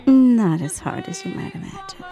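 A woman's voice: very high voice, sing-song, moderate pace, dark tone, soft background music, heavily acted. An actress playing a resolved woman from 1939 in an audio drama. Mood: resolved, wistful.

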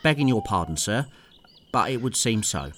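A man performs a bickering Cockney henchman for an audio play. His delivery is cheeky and mock-polite with rough grammar and a lively, swinging pitch. There is a little noise behind the voice. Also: moderate pace. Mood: apologetic. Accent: Cockney English.

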